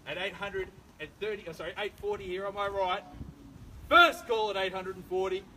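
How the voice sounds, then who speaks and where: An Australian man in his thirties working as an auctioneer, running a live property auction with brisk, persuasive sales patter on a suburban street. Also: sits high. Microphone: far camera, no microphone. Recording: distant outdoor camera audio, loud street noise.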